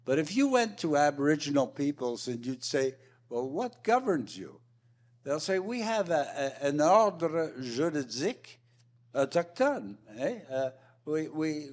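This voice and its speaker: A man's voice: a little high, darkish tone. A man making impromptu panel remarks, repeating and restarting his phrases often as he argues his points emphatically. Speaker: roundtable panelist.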